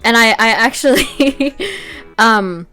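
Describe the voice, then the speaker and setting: A woman's voice: on the high side, takes it slowly, sharp tone. A woman giving casual, informal artist commentary in a conversational way. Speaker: artist narrating own speedpaint. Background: background music.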